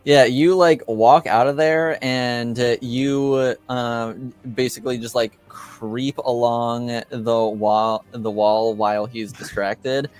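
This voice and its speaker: A man narrating a tabletop game as game master, improvising and pausing often mid-sentence. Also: medium pitch, steady tone, smooth.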